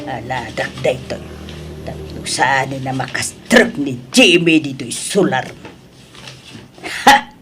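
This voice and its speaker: A woman playing an emotional, stubborn elderly mother and grandmother in an Ilocano audio drama, with a Filipino accent and drawn-out, emphatic delivery. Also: rumbling low, lively intonation, over loud music, exaggerated voice. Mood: weary. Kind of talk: melodramatic radio drama acting.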